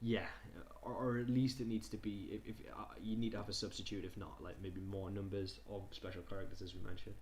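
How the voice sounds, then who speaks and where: Low-voiced man tutoring informally over background noise. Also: level tone, brisk, hardly any pauses, darkish tone. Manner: hesitant, conversational, repeats himself. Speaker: tutor presenting a digital skills session. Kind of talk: informal online lesson, reading slides aloud and commenting.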